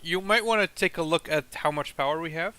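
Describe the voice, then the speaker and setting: A man's voice: on the high side, fairly quick, sharp tone, clean tone, no room sound. A young man chatting casually and teasing his friend while explaining their factory in a game. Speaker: co-host playing a factory game. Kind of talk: casual chat over gameplay.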